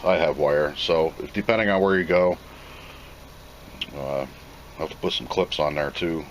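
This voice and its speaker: Low-pitched male, plainspoken, casual product review, off-the-cuff, noticeable background noise. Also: normal speed, low-quality recording. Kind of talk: casual unboxing commentary.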